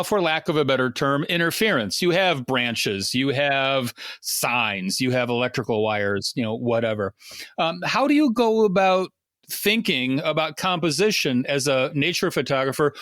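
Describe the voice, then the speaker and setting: A man's voice: on the high side, fairly bright tone, dry recording, studio quality. A man hosting an interview podcast, asking long thoughtful questions with frequent restarts. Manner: thoughtful, many restarts and fillers. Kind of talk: casual interview talk.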